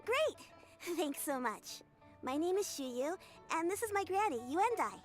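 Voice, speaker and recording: A woman's voice: very high voice, very animated, a little slow, soft background music, exaggerated voice. A woman voicing a cheerful young girl who cares for her grandmother, polite and upbeat, in a game. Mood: friendly. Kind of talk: game voice acting.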